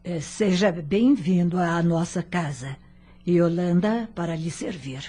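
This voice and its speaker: A woman playing a hospitable, deferential mother in an audio drama, with a husky voice. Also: on the low side, takes it slowly, warm tone. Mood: welcoming. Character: welcoming, deferential mother and hostess Yolanda. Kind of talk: audio novela acting.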